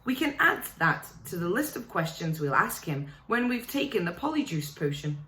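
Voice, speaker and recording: A woman's voice: fairly low pitch, big pitch swings, moderate pace, bright, forceful tone, echoey room. A dry, tired schoolboy, read by a woman. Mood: weary. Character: injured, weary schoolboy.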